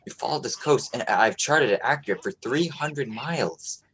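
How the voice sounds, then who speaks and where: A man acting in a radio play as an earnest, determined young sea captain, speaking a little haltingly. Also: medium pitch, fairly bright tone, a little hiss or hum, natural voice. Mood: earnest, worried.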